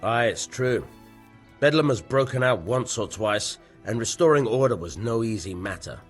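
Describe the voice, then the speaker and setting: An actor playing a gruff, dismissive medieval town bailiff in a video game, husky and matter-of-fact. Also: male voice, mid-range voice, expressive, normal speed, soft background music, close to an everyday voice. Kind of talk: video game dialogue acting.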